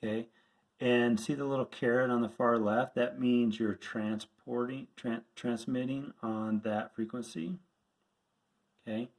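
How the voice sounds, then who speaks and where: A man speaks as an instructor in an informal, practical tutorial. His delivery is plain and instructive, taking the listener through each step in order. His voice sits at an average male pitch. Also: steady tone, unhurried, darkish tone, rough recording. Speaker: radio instructor.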